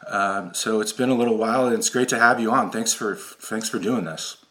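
A man's voice: fairly low pitch, brisk, pushed and bright. A man hosting a podcast, talking earnestly and enthusiastically, with frequent ums and uhs. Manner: earnest, enthusiastic, filler-heavy. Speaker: podcast host. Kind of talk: casual podcast interview.

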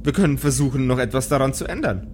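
A man's voice: mid-range voice, expressive, fast talker, a little hiss or hum, lightly acted. A man playing a spaceship's computer, formal and hesitant with a teasing streak. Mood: concerned, formal. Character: spaceship computer, polite but snarky and teasing.

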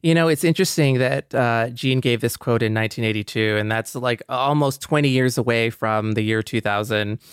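A man's voice: medium pitch, moderate pace, hardly any pauses, clean tone, dry recording, studio quality. A man in his forties co-hosting a podcast, introducing and talking reflectively. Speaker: podcast co-host.

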